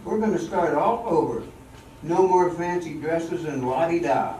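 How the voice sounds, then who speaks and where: A man's voice: on the high side, unhurried, mellow, close to an everyday voice. An older man playing a bossy, hopeful song-and-dance showman, giving emphatic instructions in an amateur radio play. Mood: determined. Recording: echoey hall, distant mic, faint noise.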